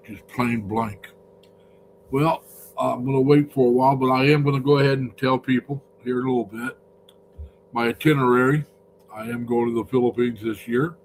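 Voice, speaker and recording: A man chats loosely on a live stream, his voice deeper than usual from a cold. He rambles and greets viewers by name, at a middling pitch, over a faint hum. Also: poor audio. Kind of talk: casual live-stream chat.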